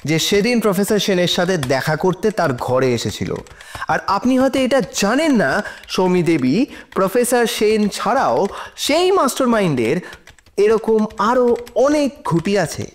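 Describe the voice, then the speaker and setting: A persuasive defence lawyer in an audio drama. His voice sits high for a man and moves widely in pitch. He questions in a formal, deliberate and emphatic way. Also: big pitch swings, a little hiss or hum. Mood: insistent. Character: persistent, persuasive male defence lawyer in court. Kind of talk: courtroom audio drama dialogue.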